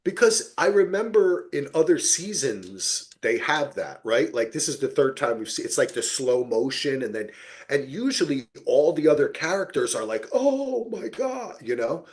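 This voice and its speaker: A man with a very animated, swooping delivery hosts a casual TV recap. He talks emphatically, swears freely and slips into acted-out reactions to make his points. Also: fairly high pitch, moderate pace. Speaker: podcast host.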